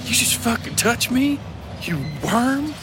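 A man's voice: very high voice, very animated, takes it slowly, pitched above the performer's own voice, full character voice. A man voicing a menacing, short-tempered gunslinger bully who drawls threats and insults in a strained snarl. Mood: furious. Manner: insulting, domineering, drawled rustic phrasing. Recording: loud music behind the voice.

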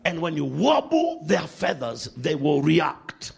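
A male preacher delivering a sermon with a lively, swooping delivery. His voice sits fairly high and carries a gravelly, rough edge. He moves from loud bursts to quiet asides. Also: slow pace. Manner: animated preaching, swinging from shouts to hushed asides.

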